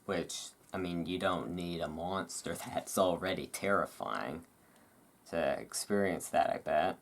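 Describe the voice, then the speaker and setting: A host doing a relaxed ASMR-style reading aloud, breaking off into informal, musing asides. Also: sits low, unhurried, gravelly. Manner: informal, chatty, musing asides between read passages.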